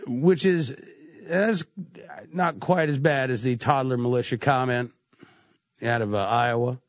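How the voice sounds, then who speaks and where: A man's voice: on the low side, no echo, lo-fi sound. A man hosting a talk radio show with a stuffy cold, speaking conversationally with frequent "uh" fillers. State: congested with a cold. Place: radio studio. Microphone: studio microphone.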